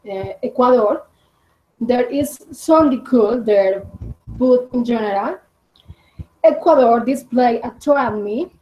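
A Colombian woman in her twenties with a Spanish accent, answering interview questions in halting English with many fillers. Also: fairly high pitch. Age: 20s. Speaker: podcast guest. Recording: dull lo-fi audio.

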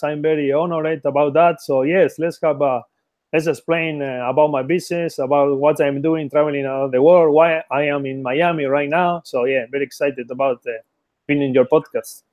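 A man's voice: fairly high pitch, fairly even intonation, moderate pace, darkish tone, no room sound. A man from Argentina, a native Spanish speaker with an Argentine accent, talking earnestly as a guest over a remote call, with restarts and non-native phrasing. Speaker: guest entrepreneur. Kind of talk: casual interview chat.

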